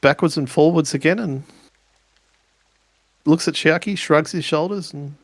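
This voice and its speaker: A man improvising narration for a tabletop role-playing game, in halting, deliberate phrases with pauses. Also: fairly high pitch, dry recording.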